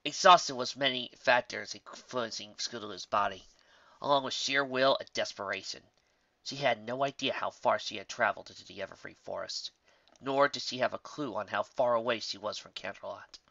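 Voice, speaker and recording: A man's voice: on the low side, normal speed, gravelly, sharp tone, no room sound, lo-fi sound. A man reading a scripted story aloud as its narrator. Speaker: narrator reading fan fiction.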